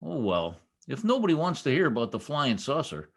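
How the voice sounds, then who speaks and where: A man's voice: mid-range voice, wildly swooping pitch, a little fast. A man playing a boastful, bumbling, long-winded husband in folksy old-time radio comedy style. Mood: exasperated. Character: blustering, long-winded, self-important husband, a tall-tale teller. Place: at home on a video call.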